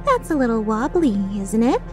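A woman's voice: fairly high pitch, big pitch swings, normal speed, over music. A woman voicing a cocky female mercenary leader in a video game fan dub, playful, sarcastic and taunting with an edge of menace. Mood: teasing menace.

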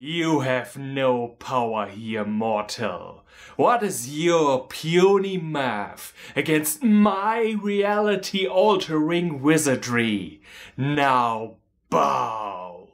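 A haughty young witch played by a man, drawn out and theatrical. The delivery is lordly and menacing, with lively swings in pitch, in comic sketch style. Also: extremely slow. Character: menacing all-powerful young witch, grandly taunting a mortal.

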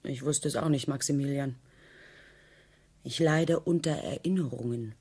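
An actress playing an ironic, poised newlywed wife who needles and probes in a radio play. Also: female voice, rumbling low, moderate pace, a little mellow, breathy edge, full character voice. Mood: wistful, insinuating. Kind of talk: German radio play acting.

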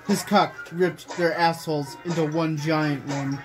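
A young man reads aloud with amateur storytelling drama. His voice sits high for a man, and music plays clearly behind him in a small room. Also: fairly even intonation, unhurried. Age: young adult. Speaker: friend reading fan fiction aloud. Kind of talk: amateur read-aloud with casual chat. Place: small bedroom, indoors. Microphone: phone camera nearby.

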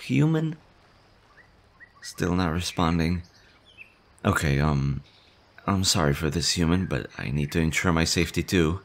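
A man's voice: sits low, lively intonation, unhurried, pauses often. A man voicing a nervous, shy male slime monster in an audio roleplay, stammering and fretful as he tends to someone. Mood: worried. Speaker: voice actor.

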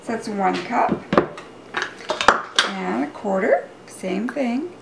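A woman's voice: on the high side, big pitch swings, takes it slowly, gravelly. A middle-aged woman giving a chatty, step-by-step cooking demonstration in a casual way, as a home cook hosting her show. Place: home kitchen, indoors. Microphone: camera mic at a few metres. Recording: distant, roomy, loud kitchen clatter and noise.

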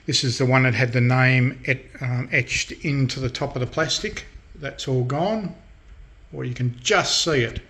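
A man hosting a casual hobby restoration demonstration, speaking unscripted in a halting, matter-of-fact way. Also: mid-range voice, fairly bright tone. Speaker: host of a hobby radio restoration channel. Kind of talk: casual hands-on demonstration talk. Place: indoors at a workbench in a room.

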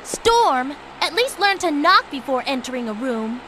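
A woman's voice: high-pitched, very animated, measured pace, background noise. A woman voicing a sassy, bossy young bird thief with a pointed manner in a video game cutscene. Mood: annoyed.

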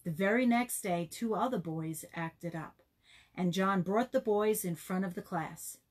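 A woman reading a children's story expressively, with animated emphasis and questions put to young listeners. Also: medium pitch, a little slow.

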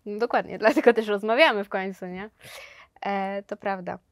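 A woman's voice: mid-range voice, moderate pace, dry recording. A young woman hosting a sports interview, asking brief prompting questions from a studio sofa. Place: studio set with sofas, indoors.

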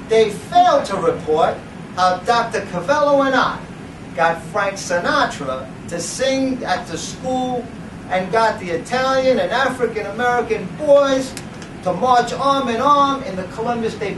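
A man's voice: extremely high pitch, lively intonation, a little slow. Theatrical man in a noisy, echoey room. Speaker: presenter reading at a book event. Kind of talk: dramatic reading and speech to a live audience. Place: indoor library event room. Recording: roomy, noisy, distant camera microphone.

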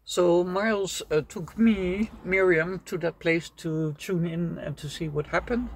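A woman's voice: lowish voice, measured pace. Older woman, Dutch accent, plain speech, searching for words, faint outdoor noise. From Netherlands. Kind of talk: casual conversation. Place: outdoors, windy canal side and roadside.

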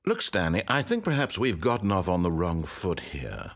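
A man's voice: sits low, huge pitch swings, normal speed, no room sound, heavily acted. An actor playing a smug, mock-polite male narrator of a video game, speaking with sardonic theatrical wit. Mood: wry.

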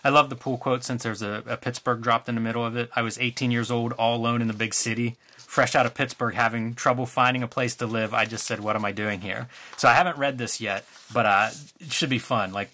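A man in a casual show-and-tell chat about old comics, relaxed and musing, trailing off with fillers. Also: medium pitch, fairly quick. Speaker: co-host showing comics.

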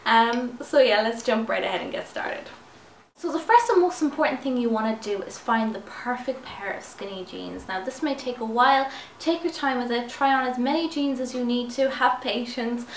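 A woman's voice: on the high side, moderate pace, a little hiss or hum. Chatty young woman with a slight lisp. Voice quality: slight lisp from new braces. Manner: chatty and upbeat, addressing viewers. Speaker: fashion vlogger. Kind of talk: casual styling tips to camera. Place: small indoor room with clothes rail. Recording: echoey room, slightly distant mic.

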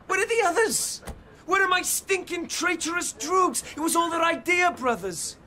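An actor playing a whining, violent fourteen-year-old British gang leader in a radio drama, talking in florid teen slang. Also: male voice, very high voice, expressive, normal speed, sharp tone, exaggerated voice. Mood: furious. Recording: noisy radio drama sound scene.

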